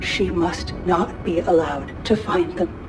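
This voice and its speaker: Grave fleet commander, lowish. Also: female voice, takes it slowly, over music, poor audio. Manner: solemn, urgent. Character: grave, resolute female fleet commander on recorded message.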